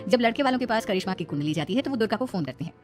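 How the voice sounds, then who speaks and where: A woman's voice: medium pitch, animated, racing, darkish tone, faint music behind. A woman narrating a scripted moral story.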